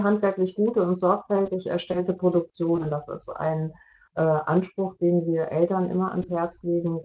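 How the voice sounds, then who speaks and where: A woman's voice: fairly low pitch, a little mellow. A middle-aged woman co-hosting an online seminar, explaining advice over a video call. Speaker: webinar co-host and media education adviser. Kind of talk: explanatory webinar talk. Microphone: video-call microphone. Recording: dull lo-fi video-call audio.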